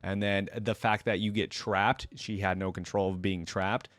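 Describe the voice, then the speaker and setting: A man's voice: fairly low pitch, little pitch movement, moderate pace, a bit bright, dry recording. A man hosting a reaction video, giving casual, conversational commentary straight to his viewers. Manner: informal, conversational, addresses viewers directly.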